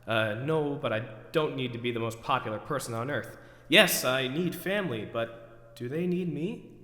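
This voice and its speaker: A man's voice: medium pitch, normal speed, sharp tone, close to an everyday voice. A man acting out the theatrical internal monologue of an overwrought, neurotic man who questions himself, recorded in an echoey hall. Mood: searching, unsure. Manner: ranting, then talking himself down. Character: overwrought, neurotic man agonizing over forgotten groceries, self-questioning. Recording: echoey hall with long reverb.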